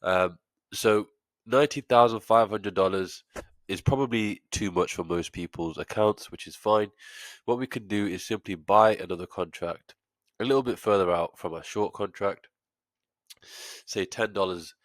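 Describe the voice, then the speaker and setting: A man with a cold and a stuffy nose talks through a trading tutorial in a low voice. He explains methodically, with the odd filler between steps. The sound is dry and close, with no room around it. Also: normal speed, no room sound. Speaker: trading educator. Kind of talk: screen-share tutorial.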